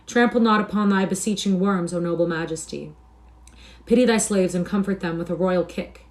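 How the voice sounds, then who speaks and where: A woman's voice: fairly high pitch, animated, moderate pace, mellow, pitched above the performer's own voice, natural voice. A woman voicing a mocking male tinker in a storybook, exclaiming and pleading theatrically in archaic speech. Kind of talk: storybook character reading.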